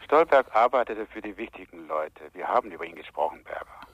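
An actor playing a sly, needling policeman in a radio crime drama, falsely collegial and quietly menacing, asking pointed, insinuating questions. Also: low voice, animated, fairly quick, slightly rough, dry recording, lo-fi sound, heavily acted.

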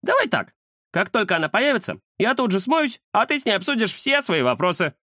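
A man voicing a chatty, mischievous young cat with an excitable, teasing manner in a children's story. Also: high voice, brisk, no echo, clean studio sound, voice pushed up, heavily acted. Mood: chatty, aggrieved. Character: cheeky chatty round-faced young male cat, mischievous.

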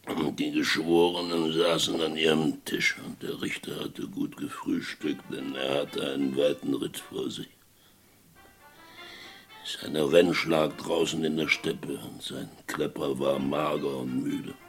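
A very deep-voiced grave Western storyteller takes his time with every phrase. His delivery is solemn and hushed, with a gravelly grain, over faint music. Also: level tone. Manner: deliberate, solemn, hushed at times. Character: grave storyteller narrating a Western ballad-like tale. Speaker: narrator. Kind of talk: slow dramatic narration of a Western radio play.

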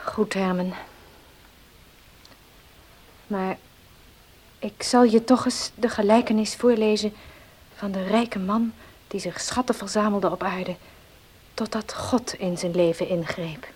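An actress in a Dutch radio play playing a devout, gentle farmer's wife, soft-spoken and earnest. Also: female voice, mid-range voice, fairly even intonation, a little slow, breathy edge. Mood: solemn, admonishing.

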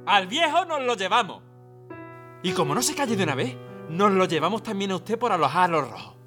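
A sneering fascist officer , acted by a man, with a bright, forceful voice that swings widely in pitch. He bullies and mocks, barking commands in a radio drama. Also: sing-song, a little fast, soft background music. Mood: threatening. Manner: mocking, commanding. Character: sneering, bullying fascist officer making an arrest.

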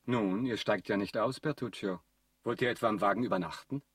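A man's voice: fairly low pitch, brisk, slightly rough. An actor playing a commanding aristocratic count in a German radio drama, polished, ironic and probing in his questions. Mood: amused, ironic.

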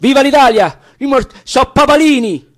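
A man's voice: very high-pitched, lively intonation, normal speed, fairly bright tone, pitched above the performer's own voice. A man voicing an alarmed volunteer sentry who calls out urgent warnings in dramatic verse, with a Roman accent. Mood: urgent crying out. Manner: urgent, exclaiming.

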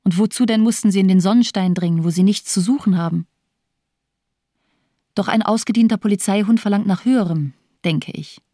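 A woman's voice: medium pitch, brisk, dark tone, dry recording, clean studio sound, close to an everyday voice. An actress playing a cold, bitterly nihilistic female doctor in a German radio crime drama, speaking in bleak, disdainful declamation. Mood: cold, mocking.

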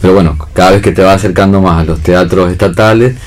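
A man's voice: low-pitched, fairly even intonation, quick pace. A man chatting casually as a guest on a radio programme. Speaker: guest theatre performer on radio. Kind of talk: casual chat.

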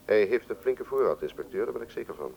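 An actor in a radio drama playing a shrewd, suave museum curator, measured and condescending. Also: male voice, fairly low pitch, animated, vocal fry, lo-fi sound, a little hiss or hum. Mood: businesslike. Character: shrewd, suave Russian male museum curator, quietly threatening.

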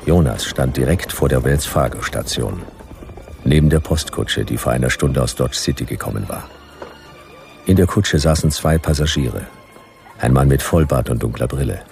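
A man playing a laconic, world-weary detective narrator in a radio drama, terse, deadpan and measured. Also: extremely low pitch, vocal fry, soft background music. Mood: suspenseful.

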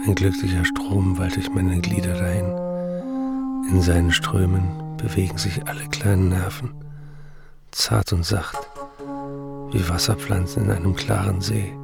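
Low, creaky confessional writer. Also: male voice, steady tone, slow pace, pauses often, exaggerated voice. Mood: blissful, enraptured. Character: dreamy, confessional male writer addicted to morphine. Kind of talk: literary radio play monologue. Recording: studio voice with music behind. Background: music.

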